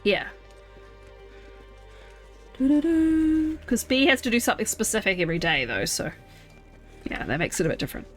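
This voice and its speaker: A woman's voice: fairly high pitch, expressive, normal speed, pauses often, faint music behind. A young woman streamer with a congested, stuffy nose, chatting casually and thinking aloud as she plays a game from home. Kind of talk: casual live gameplay chat.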